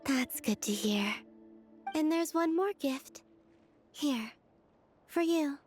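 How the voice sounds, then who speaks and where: Wistful young moon maiden, high-pitched, airy, polite, measured, video game acting, light music behind. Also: female voice, lively intonation. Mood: tender. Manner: gentle, measured, formal. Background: faint music.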